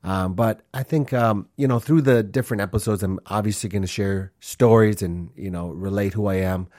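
A man's voice: fairly low pitch, moderate pace, a little mellow, dry recording, clean studio sound. A man in his forties, born in Honduras and raised in Minnesota, hosting his own podcast in an earnest, rambling way, full of fillers and restarts. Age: 40s. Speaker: podcast host. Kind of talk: casual solo podcast monologue.

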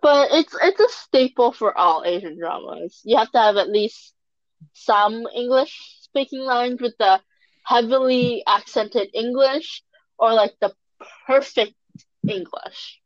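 A woman in casual chat, talking in a drawn-out, emphatic, gushing way. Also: on the high side, no room sound, dull audio.

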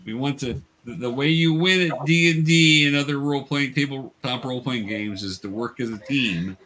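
A man's voice: medium pitch, moderate pace, pushed and bright. A man running a tabletop game as game master, coaching young players in a casual, patient way with hesitant explanations.

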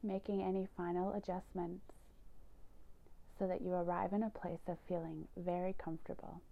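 Creaky-voiced woman guiding a meditation. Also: lowish voice, level tone, narrow, tinny audio. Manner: gentle, soothing, unhurried instruction with breathy phrase endings. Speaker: yoga nidra teacher. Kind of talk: guided meditation narration.

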